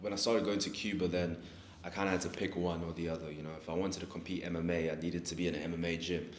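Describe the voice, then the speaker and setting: A young man with a low, deep voice talks in a relaxed, halting way. He stops and restarts his sentences as he answers questions. The recording sounds echoey, with a little background hiss. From South Africa. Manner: laid-back, hesitant, restarts often. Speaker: guest, fighter. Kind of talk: casual interview. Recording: echoey room with faint noise.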